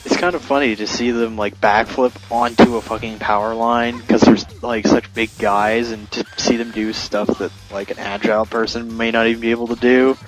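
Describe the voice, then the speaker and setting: A man's voice: medium pitch, level tone, normal speed, hardly any pauses, rough recording. Man commentating over game music. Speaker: gaming commentator. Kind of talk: casual group playthrough commentary.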